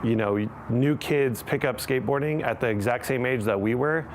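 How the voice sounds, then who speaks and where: A man's voice: medium pitch, normal speed, a little hiss or hum. A man speaking in an interview as a program leader. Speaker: after-school skateboarding program leader. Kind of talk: interview talk.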